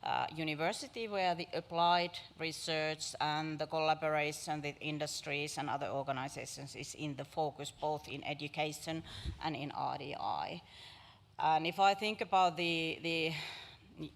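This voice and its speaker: A woman from Finland, a university vice president, answering on a panel with hesitations and repeated words. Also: low voice.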